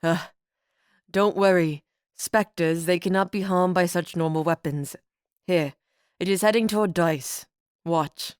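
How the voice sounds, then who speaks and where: A woman voicing a calm, very tall cloaked man with a thick accent, drawing out his vowels slowly in a storybook reading. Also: lowish voice, clear, resonant tone, no room sound, clean studio sound, close to an everyday voice. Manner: slow, overemphasized vowels.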